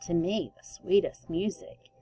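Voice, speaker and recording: A woman voicing a gentle, wise little cricket in a storybook, soothing and encouraging. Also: on the low side, a little slow, faint music behind, low-quality recording.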